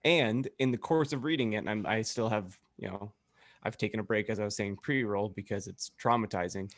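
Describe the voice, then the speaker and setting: A man's voice: on the low side, brisk, a bit bright, no room sound. A man co-hosting a casual podcast, talking in a wry, teasing, joking way. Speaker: podcast co-host. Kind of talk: casual group banter.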